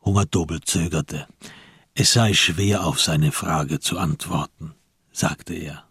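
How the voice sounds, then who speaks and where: A man narrating a radio drama from a script, in a measured literary storytelling manner. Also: a bass voice, fairly even intonation, fairly bright tone, breathy edge, no room sound, clean studio sound.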